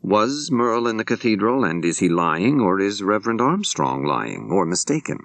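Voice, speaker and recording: A level-headed male law professor playing detective, voiced by a male narrator, speaks very low. He questions directly and in measured phrases, in the manner of an audiobook mystery. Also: big pitch swings, no room sound. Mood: probing, calm.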